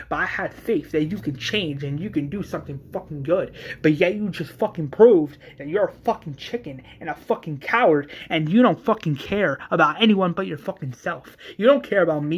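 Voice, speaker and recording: A man voicing a furious, bitter woman who shouts and swears accusingly in a told drama story. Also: fairly high pitch, breathy edge.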